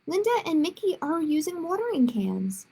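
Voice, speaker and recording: A woman's voice: very high voice, sing-song, normal speed, creaky, mellow, voice pushed up, exaggerated voice. A woman voicing a curious, questioning young girl in a children's storybook. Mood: curious, puzzled. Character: curious young girl, pleading and doubtful about her garden.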